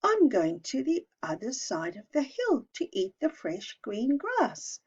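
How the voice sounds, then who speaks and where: Lady doing a tiny scared billy goat, high squeaky voice, pleading, muffled recording. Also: huge pitch swings, measured pace, a little mellow, husky, heavily acted. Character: timid little billy goat, young male. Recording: dull lo-fi recording.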